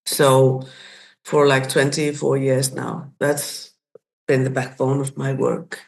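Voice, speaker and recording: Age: 60s. Sex: woman. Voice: gravelly. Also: very deep voice, flat delivery, slow pace, a little mellow, rough recording. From Iceland. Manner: thoughtful, restarts and searches for words. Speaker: guest, community facilitator. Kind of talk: reflective personal story.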